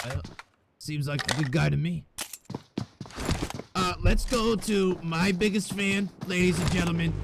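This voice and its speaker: Playful guy doing play-by-play, kinda high voice, cracks jokes between kills, game noise loud underneath. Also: vocal fry. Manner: joking, playful banter. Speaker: esports play-by-play caster. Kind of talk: live game commentary.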